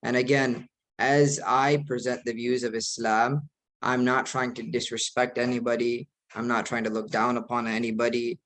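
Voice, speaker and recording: A young man from the United States, speaking as an imam in an earnest, measured way. His pitch stays level and even throughout, with little rise or fall. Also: rough recording. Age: young adult. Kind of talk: online presentation.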